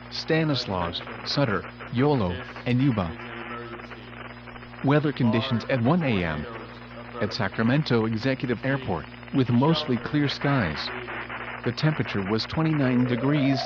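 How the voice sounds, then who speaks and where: A synthetic male voice reading scripted emergency weather bulletins as an automated radio announcer, in a formal, impersonal, measured way. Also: mid-range voice. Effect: weather radio broadcast. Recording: muffled noisy weather radio broadcast, humming.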